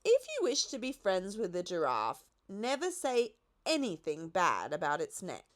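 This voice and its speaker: A woman's voice: mid-range voice, huge pitch swings, measured pace. A woman narrating a children's storybook expressively as an audiobook reader.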